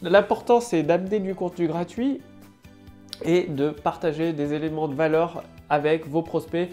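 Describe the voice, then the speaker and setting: A woman's voice: sits low, normal speed, dark tone, faint music behind. A woman with a head cold giving a casual marketing tutorial to camera, loose and full of fillers and restarts. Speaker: online marketing coach.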